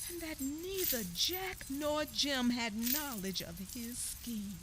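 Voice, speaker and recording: Slow, sorrowful woman storyteller. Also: sits high, noisy background. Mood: sorrowful, reflective. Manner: emotive, halting, repeats phrases, murmured asides. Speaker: narrator. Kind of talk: dramatic radio theatre storytelling.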